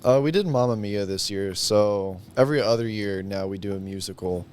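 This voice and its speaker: A man's voice: lowish voice, a little fast, darkish tone, clear, resonant tone. A young man in his late teens chatting casually as a guest, in a hesitant, understated way. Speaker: high school senior guest. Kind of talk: casual chat.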